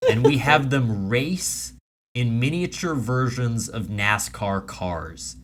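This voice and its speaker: A man's voice: medium pitch, expressive, slow pace, slight background noise. A man hosting a comedy podcast, trading casual comic banter.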